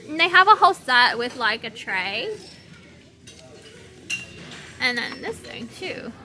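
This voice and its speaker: A chatty woman from Hong Kong hosting a casual shopping vlog with an animated manner. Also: a little high, slow pace. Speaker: vlog host. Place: large retail store. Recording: busy store background noise.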